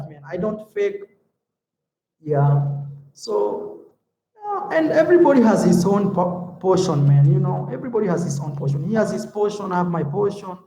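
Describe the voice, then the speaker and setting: A man from Africa, with a high, mellow voice, chats in a relaxed, easygoing way on a livestream. He answers viewer comments and drops "man" and "bro" into his talk. The sound bounces around a roomy, echoey space. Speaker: YouTube vlogger. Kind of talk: casual livestream chat, reading viewer comments.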